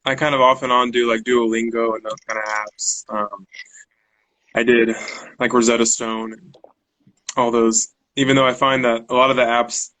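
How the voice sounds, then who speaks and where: A man, a guest in a casual online chat, relaxed and rambling with many fillers. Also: mid-range voice, normal speed, low-quality recording. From United States. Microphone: video call.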